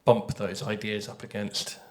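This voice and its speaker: A man's voice: lowish voice, level tone, measured pace, a little mellow. Man chatting informally on a panel. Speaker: audio drama writer on a panel. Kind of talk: informal panel talk to an audience.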